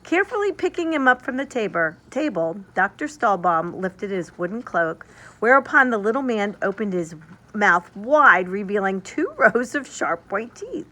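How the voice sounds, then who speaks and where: A woman reading a children's story aloud like a teacher, leaning deliberately on descriptive words. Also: medium pitch, big pitch swings, pushed and bright.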